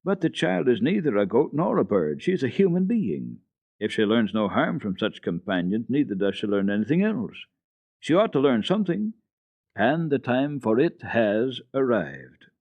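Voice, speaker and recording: A man's voice: mid-range voice, sing-song, normal speed, creaky, dry recording, phone-quality sound, pitched above the performer's own voice. A man voicing an earnest village pastor in a storybook reading, admonishing yet friendly, with a hearty, preaching manner. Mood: stern, urging.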